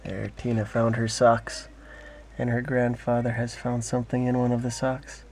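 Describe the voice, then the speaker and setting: A man reading a children's bedtime story aloud as a father, in an expressive, playful way. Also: mid-range voice, moderate pace, rough recording.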